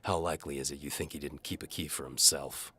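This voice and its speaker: A man's voice: steady tone, fast, bright, forceful tone. A man narrating a fantasy audio drama in the first person, with a world-weary, wry, hard-boiled delivery. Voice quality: very deep, husky. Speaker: narrator and lead actor.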